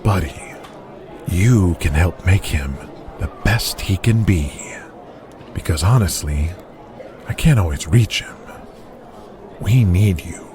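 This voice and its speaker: A man playing a polite, persuasive wrestling promoter who speaks deliberately, like a salesman, in an audio drama. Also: low voice, expressive, background noise. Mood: driven. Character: smooth, persuasive male wrestling promoter, courting a star. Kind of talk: audio drama character acting.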